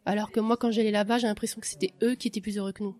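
A woman giving a personal testimony, reflective and hesitant, with frequent pauses. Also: mid-range voice, level tone, a little mellow. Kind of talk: testimony interview.

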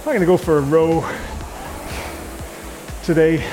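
A man's voice: on the high side, a little slow. A man coaching a rowing workout in a casual, rambling, jokey and conversational way. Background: music.

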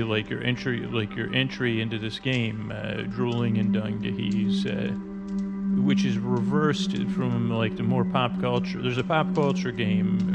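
A man with a gravelly edge to his mid-pitched voice hosts a rambling bedtime podcast. He talks in loops, hesitant and unscripted, often trailing off and starting over. Prominent music plays underneath him. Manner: hesitant, meandering, repeats and trails off. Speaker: podcast host.

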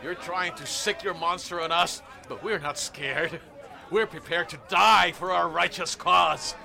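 A man's voice: sits high, unhurried, vocal fry, bright, forceful tone, a faint crowd, exaggerated voice. A man acting in an audio drama as a zealous, hot-headed gang leader who hunts monsters, speaking with bombastic defiance. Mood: defiant.

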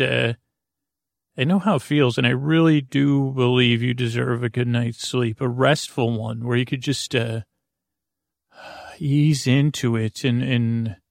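Creaky-voiced man rambling soothingly. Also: mid-range voice, dry recording, studio quality. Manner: meandering, hesitant, full of tangents and trailing repetitions; lulling, soothing. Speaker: podcast host. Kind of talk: rambling bedtime podcast monologue.

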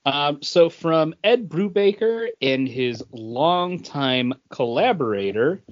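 A man's voice: fairly high pitch, lively intonation, slow, highs cut off. A man co-hosting a podcast in casual, conversational banter. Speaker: podcast co-host.

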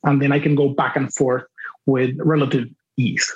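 A man whose first language is Spanish, a translator speaking as a podcast guest in a deliberate, reflective way that often restarts its phrases. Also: mid-range voice, flat delivery. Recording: dull lo-fi remote audio.

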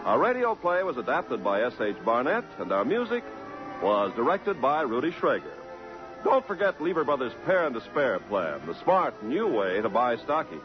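A man's voice: mid-range voice, big pitch swings, moderate pace. A man announcing on a 1950s radio broadcast, reading scripted copy. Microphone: radio studio microphone. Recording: dull vintage 1950s radio broadcast, music.

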